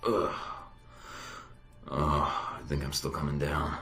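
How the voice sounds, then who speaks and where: An actor playing a groggy, defiant young secret agent in a video game, gruff and terse. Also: male voice, rumbling low, flat delivery, slow pace, sharp tone, echoey room, faint music behind, heavily acted. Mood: groggy, demanding.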